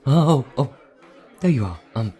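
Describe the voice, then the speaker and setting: An actor playing a nervous, earnest vampire traveler in a fantasy audio roleplay, speaking hesitantly with stammers. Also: male voice, mid-range voice, expressive, moderate pace, dark tone, close to an everyday voice. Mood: flustered.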